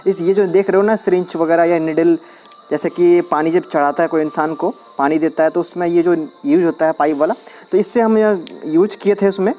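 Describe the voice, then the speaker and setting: A man's voice: a little high, fairly even intonation, brisk, mellow, soft background music. A man chatting casually as he shows a hands-on experiment step by step, recorded outdoors on a phone held over his hands. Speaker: DIY experiment vlogger. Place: outdoors on a concrete floor, at dusk.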